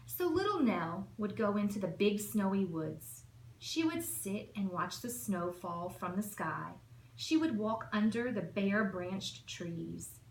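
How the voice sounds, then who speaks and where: A woman's voice: mid-range voice, very animated, slow. A woman narrating a children's storybook with emphatic, rhythmic storytelling. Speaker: assistant principal reading aloud. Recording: echoey room with faint noise.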